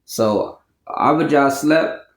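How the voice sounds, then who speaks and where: A man reads scripture aloud in a plain, somewhat halting manner, at an ordinary pitch. His voice is caught a little off-mic in a roomy, echoing space. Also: vocal fry. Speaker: scripture reader.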